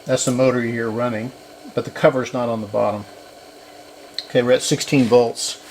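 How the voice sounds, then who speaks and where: A man's voice: medium pitch, steady tone, moderate pace, slight background noise, rough recording. A man giving a casual repair demonstration, talking through each step as he works. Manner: talks through each step while working. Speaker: repair channel host.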